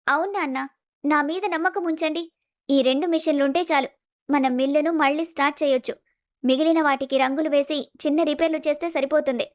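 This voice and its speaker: A woman acts out a determined young daughter in a family story. She speaks very quickly in a high voice, with eager emphasis. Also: steady tone, close to an everyday voice. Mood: excited, confident. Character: determined, encouraging young daughter of mill owner. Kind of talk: dramatized moral story acting.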